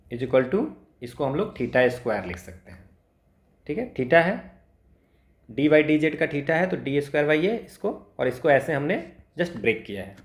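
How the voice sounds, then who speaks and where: An Indian man gives an informal maths lesson in a slightly high voice. He works through each step very slowly, mixing Hindi and English and often checking in with short tags. The sound is echoey and roomy. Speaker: maths teacher. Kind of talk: informal exam lesson, explaining worked equations step by step.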